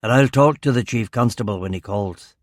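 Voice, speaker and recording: Man playing a gruff, gravelly Glaswegian police chief. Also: medium pitch, a little fast, no echo, studio quality, lightly acted. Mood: gruff, protective. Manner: blunt, authoritative. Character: burly, angry older police chief superintendent, commanding but protective. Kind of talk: audiobook character dialogue.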